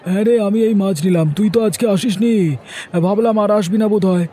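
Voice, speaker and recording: A man voicing a chatty friend at a fish market in a cartoon, raised higher than his usual voice. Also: fairly even intonation, quick pace, warm tone, some chatter behind, a little hiss or hum, lightly acted.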